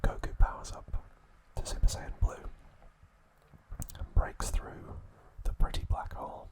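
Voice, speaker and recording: A man narrating in a soft, unvoiced ASMR whisper, recapping a story with frequent hesitant pauses. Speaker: ASMR channel host.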